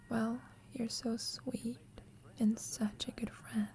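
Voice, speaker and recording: A young woman playing a shy, lovestruck student roommate in an intimate ASMR roleplay, confiding hesitantly in a soft, breathy whisper. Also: fairly high pitch, lightly acted.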